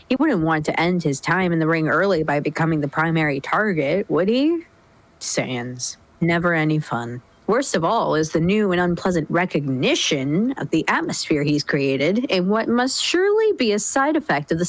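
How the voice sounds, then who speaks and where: A woman's voice: on the low side, dry recording, low-quality recording. A woman reading scripted story narration expressively in a dramatic reading. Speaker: reader in a group dramatic reading.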